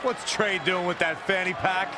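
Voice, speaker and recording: A male actor as an opinionated wrestling color commentator with a high voice. He argues his points with a sardonic edge. A very loud arena crowd fills the background. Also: steady tone, normal speed, heavy background noise, lightly acted. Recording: loud crowd roar behind.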